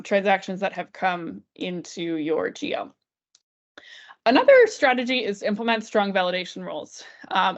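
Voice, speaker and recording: Woman giving a software tutorial, slightly muffled audio. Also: mid-range voice. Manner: informal, walks through steps aloud. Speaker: software trainer. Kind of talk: screen-share software tutorial, explanatory and conversational. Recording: dull, band-limited lo-fi audio.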